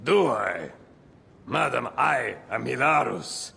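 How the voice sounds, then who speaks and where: A swaggering old gladiator in a video game. His voice is deeply gravelly and slow, with theatrical swings of pitch and boastful charm. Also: animated, bright, forceful tone, exaggerated voice. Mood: flirtatious, boastful. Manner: theatrical, unhurried. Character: swaggering ageing arena champion, charming and boastful. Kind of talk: video game dramatic acting.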